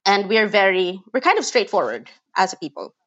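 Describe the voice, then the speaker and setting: A woman's voice: medium pitch, very animated, a little fast, muffled recording. A Cebuano actress in a casual interview, with a Filipino accent, laughing often and restarting her sentences. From Cebu, Philippines. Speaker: actress guest.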